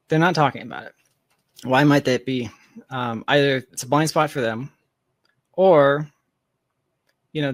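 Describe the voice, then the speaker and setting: Man, medium pitch, podcast guest, reflective, conversational, hedging asides, thinking aloud, dry recording. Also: creaky, no room sound. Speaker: podcast guest, tech founder. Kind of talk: casual speculative discussion.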